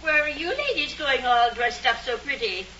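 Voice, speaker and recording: A woman's voice: sits high, sing-song, normal speed, bright, forceful tone, slight background noise, full character voice. An actress playing a nosy, blunt, fearful old woman of a small town in an old radio drama. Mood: nosy, friendly. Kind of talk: 1950s radio drama acting. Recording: dull old radio recording.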